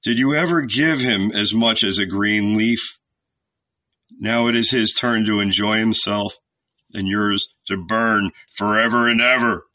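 A man voicing a stern patriarch who passes unforgiving judgment deliberately, in a storybook reading. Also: on the low side, fairly even intonation, bright, forceful tone, distant mic, highs cut off. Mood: stern, judging.

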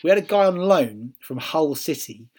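A man's voice: on the high side, lively intonation, normal speed, a little mellow, slight background noise. A man with a Welsh accent chatting casually as a podcast co-host, musing aloud with ums and restarts. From Wales. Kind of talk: casual chat.

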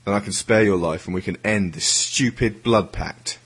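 A man's voice: lowish voice, moderate pace, fairly bright tone, husky. A man playing an earnest, troubled schoolboy in an audio drama. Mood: pressing. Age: teenage schoolboy figure. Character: earnest, troubled schoolboy pleading against violence. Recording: music under the voice.

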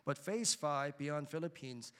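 A man speaking in a measured, hesitant way with frequent fillers as a missionary at a church mission presentation. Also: on the high side, clear, resonant tone. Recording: long hall reverb.

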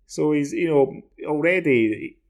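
A man in his forties with a Glaswegian Scottish accent, chatting casually as a podcast co-host in a rambling, halting way. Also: a little high, lively intonation. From Glasgow, Scotland. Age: 40s. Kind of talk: casual discussion of television.

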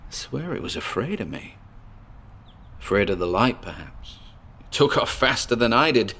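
Low-voiced, gravelly male paranormal investigator, jittery but driven, confiding in listeners on a solo recording for a horror audio drama. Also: sing-song, normal speed, a bit bright, exaggerated voice. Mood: shaken, awed.

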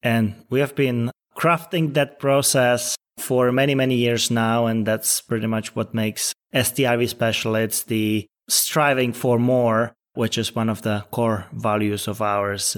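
A man's voice: mid-range voice, steady tone, measured pace, few pauses. A man in his thirties from the Czech Republic, a startup CEO answering interview questions in an earnest, explanatory way. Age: 30s.

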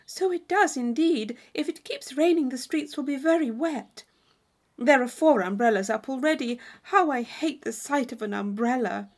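A woman's voice: high-pitched, normal speed. A woman voicing a placid, complacent married lady in a storybook, prattling on about trifles. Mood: mildly complaining.

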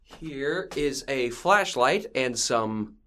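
A woman's voice: a bass voice, lively intonation, extremely slow, fairly bright tone, exaggerated voice. A woman acting a practical, placating mother in an audio drama, brushing worries aside with some hesitation. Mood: weary, placating. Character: practical, brisk, dismissive mother in a haunted house.

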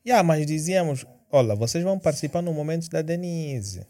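A man's voice: fairly high pitch, lively intonation, brisk, dark tone. A man hosting a casual interview, teasing his guests and pushing with personal questions. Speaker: host.

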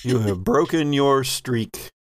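Man, game master, improvised narration, playful, teasing, mid-low voice, dry sound. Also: slow, no echo. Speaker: game master of a tabletop RPG podcast.

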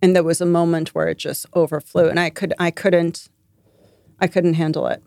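A woman's voice: lowish voice, level tone, normal speed, gravelly, a little mellow, dry recording, clean studio sound. A woman from Iran who later lived abroad, speaking casually as a life coach being interviewed. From born in Iran, later lived in London and the US.

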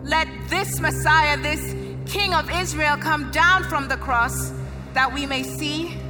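A woman acts a hostile, mocking accuser in a dramatic Bible reading. The delivery is declamatory and scornful in a very high voice, with loud music behind. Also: takes it slowly.

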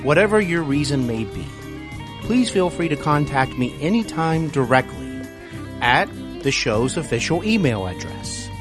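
A man hosting a podcast, speaking in a polite, somewhat halting way. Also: on the high side, sing-song, music in the background. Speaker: podcast host. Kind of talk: interview talk and show announcements.

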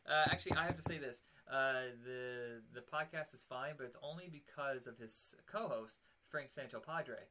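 A man's voice: mid-range voice, normal speed, few pauses, sharp tone. Nasal-voiced man hosting a podcast. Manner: rambling, self-deprecating. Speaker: podcast host. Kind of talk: casual podcast chat.

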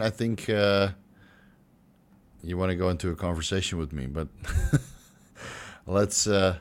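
A young man hosting a casual livestream Q&A, joking and swearing freely, with his voice turning hoarse and stuffy. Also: low voice. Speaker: host answering viewer questions.

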